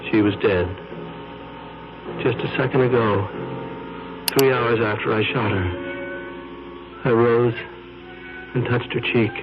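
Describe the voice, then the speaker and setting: An actor playing a doomed, desperate man haunted by a witch, halting and melodramatic in old-time radio style. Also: on the low side. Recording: dull vintage radio recording with loud music.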